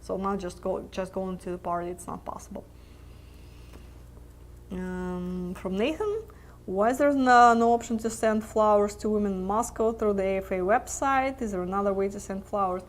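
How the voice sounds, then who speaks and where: A woman in mid-pitch hosts a live question-and-answer webcast, chatting freely and laughing easily between answers. Her voice is wearing thin from a long stretch of talking. She speaks loosely, with plenty of fillers and restarts. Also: fairly even intonation, normal speed. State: losing her voice.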